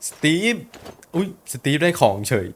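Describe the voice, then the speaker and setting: A young adult man giving casual, excitable reaction commentary on an animation, exclaiming often and speaking in slang. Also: normal speed, a little hiss or hum.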